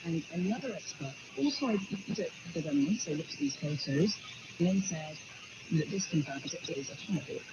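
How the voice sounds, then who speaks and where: A man's voice: a little high, lively intonation, normal speed. A man working as a journalist, explaining evidence step by step in a news interview, often repeating words as he goes. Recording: dull shortwave radio with loud noise.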